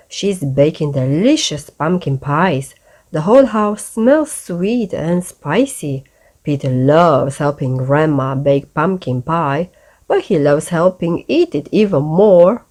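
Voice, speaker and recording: Slow, lively woman reading a children's story. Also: deep voice. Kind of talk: read-aloud bedtime storytelling.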